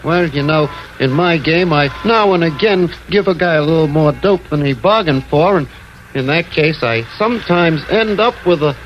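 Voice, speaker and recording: A man's voice: fairly high pitch, moderate pace, a little hiss or hum, lightly acted. A man playing a sly, eager American tipster angling for cash, wheedling and slangy in a 1940s radio crime drama. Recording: dull vintage radio recording, faint music.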